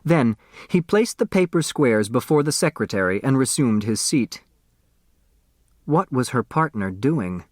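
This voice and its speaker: Measured male audiobook narrator, very dry sound. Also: medium pitch, sing-song, no room sound. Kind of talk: scripted story narration.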